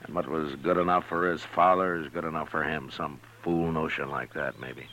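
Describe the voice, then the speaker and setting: An actor playing a calm, fair-minded frontier marshal in a 1950s radio western, speaking in a measured, plain way. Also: male voice, rumbling low, full character voice. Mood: somber, reflective. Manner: unhurried, plain-spoken, measured. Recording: old noisy radio recording.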